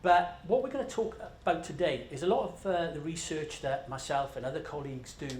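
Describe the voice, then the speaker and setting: A man's voice: fairly high pitch, a little fast, a little mellow. A Scottish man giving a conversational, self-deprecating presentation at a conference, jet-lagged and full of fillers. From Scotland. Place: large conference room. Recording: echoey conference room with faint noise.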